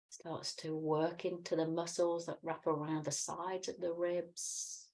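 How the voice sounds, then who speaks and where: A middle-aged woman with a low-pitched voice guides a relaxation practice. She gives calm, soothing instructions on breath and gentle movement, leaving unhurried pauses between phrases. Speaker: yoga and meditation teacher.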